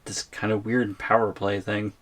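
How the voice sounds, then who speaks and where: A man playing a tiny, nervous boyfriend held by a giantess in an ASMR audio roleplay, hesitant and gently protesting. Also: on the low side, level tone, moderate pace. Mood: pleading, uneasy.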